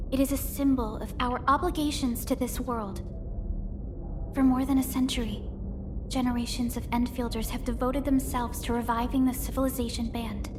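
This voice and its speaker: A woman plays an earnest young supervisor in a video game, with a high-pitched voice. She speaks formally and politely, composed and sincere in her sense of duty. Music plays behind her lines. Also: close to an everyday voice. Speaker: game character voice. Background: background music.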